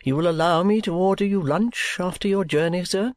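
A reader voicing a weary older gentleman guardian. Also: high voice, brisk, no echo, studio quality, lightly acted. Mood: courteous. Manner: courteous, bitter. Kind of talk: audiobook character reading.

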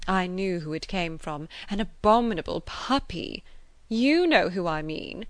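A woman's voice: on the low side, animated, unhurried, no echo. A woman reading a classic novel, voicing a pompous, self-satisfied married lady with an emphatic manner. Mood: affronted, haughty. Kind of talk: classic novel character dialogue.

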